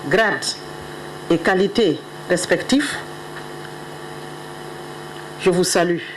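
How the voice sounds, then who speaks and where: A middle-aged woman speaking on behalf of an official, giving a solemn, measured ceremonial address. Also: on the low side, expressive, background noise. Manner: measured, solemn, stately delivery.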